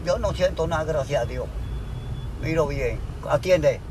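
A man's voice: fairly high pitch, measured pace. An older man with a Dominican accent, chatting in a car in a boisterous, cursing, laughing way. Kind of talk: casual chat. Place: inside a car. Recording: noisy car ride.

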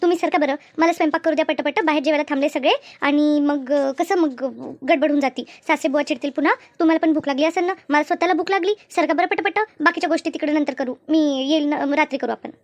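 Cartoon family drama, woman acting young daughter-in-law, high pitch, anxious, deferential, colloquial. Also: fast, few pauses, dry recording, close to an everyday voice. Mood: hurried, flustered.

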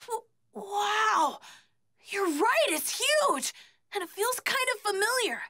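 Very high-pitched earnest little boy. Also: sing-song, slow, sharp tone, no echo, studio quality, full character voice. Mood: awed. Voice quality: childlike. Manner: earnest, urgent. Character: small earnest young boy, brave but frightened. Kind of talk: English anime game dub acting.

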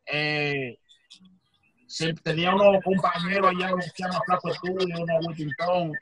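A man's voice: high voice, fairly even intonation, moderate pace, fairly bright tone, no room sound, rough recording. A young man, an urban music artist, talking casually over a phone video call from inside a car, stammering at first then flowing. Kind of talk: casual interview answers.

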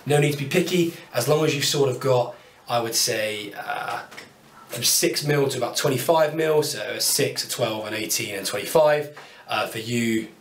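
A man's voice: mid-range voice, normal speed, distant mic, slight background noise. A man in his thirties with a British accent, chatting upbeat and self-deprecating as he walks through his woodworking tools. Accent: British English. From United Kingdom. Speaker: woodworking YouTuber. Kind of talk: casual tool walkthrough to camera. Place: small home workshop, indoors. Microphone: camera mic at a distance. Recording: roomy workshop sound, voice off-mic.

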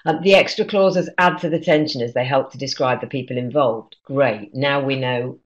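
A woman's voice: very deep voice, normal speed. A middle-aged British woman, a teacher trainer, explaining informally in an online lesson and posing questions as she goes, on a video-call microphone. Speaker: teacher trainer presenting a webinar. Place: small indoor room, on a video call. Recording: dull, lo-fi webcam audio.

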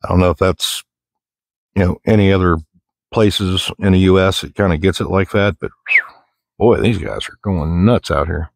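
Deep-voiced folksy American man. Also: fairly even intonation, moderate pace, gravelly, no room sound, clean studio sound. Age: middle-aged. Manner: folksy, plain-spoken, rambling. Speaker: outdoor vlogger. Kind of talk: casual walk-and-talk chat. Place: outdoors in the woods, walking.

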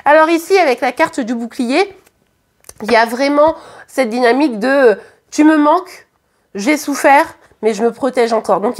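A hoarse woman with a French accent, doing a casual tarot reading to camera in a chatty, hesitant way with many fillers and restarts. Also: high voice. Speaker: tarot card reader. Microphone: camera at the reading table.

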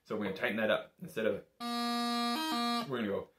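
Youngish guy with a lowish voice, patient casual instructor walking you through it, roomy echo. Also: steady tone, quick pace, a bit bright, soft background music. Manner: encouraging, informal, patient. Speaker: bagpipe teacher. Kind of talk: casual step-by-step instrument lesson. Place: small indoor room. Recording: echoey small room.

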